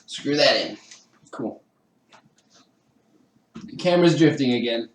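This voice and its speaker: A man's voice: medium pitch, lively intonation, slow, roomy sound, a little hiss or hum. A man chatting casually with live-stream viewers while assembling a kit, laughing often and poking fun at himself. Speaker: livestreamer building a 3D printer kit. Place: home room with a garage downstairs.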